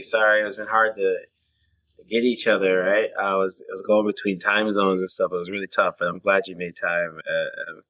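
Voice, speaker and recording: A man's voice: lowish voice, a bit bright, no echo. A man from Toronto, talking as an interview guest in a conversational, reflective way and often checking in with the listener. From Toronto, Canada. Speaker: podcast guest, singer-songwriter.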